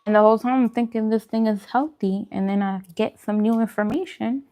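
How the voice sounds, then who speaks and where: A woman's voice: fairly high pitch, fairly even intonation, a little fast, dark tone. A woman chatting casually as a vlog host, informal and conversational, often repeating phrases for emphasis. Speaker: host of a food and vlog channel. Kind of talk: casual eating-show chat answering viewer questions.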